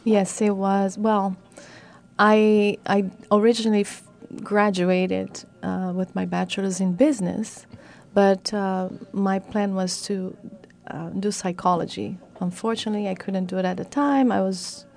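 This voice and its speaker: Woman psychologist guest from Brazil, friendly, a bit gravelly. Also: mid-range voice, fairly even intonation, measured pace, darkish tone. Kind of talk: casual interview.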